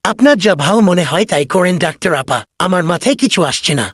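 A man voicing an anxious cartoon tortoise son, pleading and distressed, in a husky voice. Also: high-pitched, big pitch swings, fast talker, no room sound, clean studio sound, full character voice. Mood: helpless.

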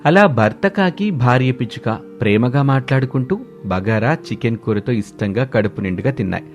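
Mid-pitched man narrating a story over music. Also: lively intonation, normal speed. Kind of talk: scripted children's story narration. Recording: music bed behind voice.

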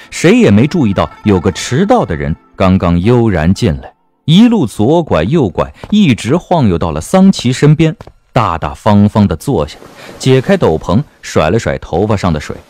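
A man's voice: on the low side, very animated, normal speed, a little mellow. A man narrating a comic period novel as an audiobook, with a wry, theatrical delivery. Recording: music bed under the voice.

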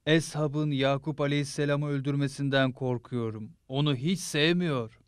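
A man's voice: a little high, fairly even intonation, moderate pace, clear, resonant tone, no room sound, studio quality, natural voice. A man playing an earnest, devout villager in a religious cartoon. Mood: worried. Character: worried, devout male villager.

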